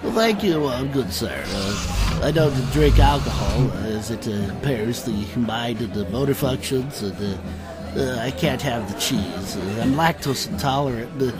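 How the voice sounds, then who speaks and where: An actor playing a fussy, pedantic sage and inventor in a comic fantasy audio drama, halting, long-winded and pompous. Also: male voice, mid-range voice, expressive, natural voice. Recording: music playing behind the voice.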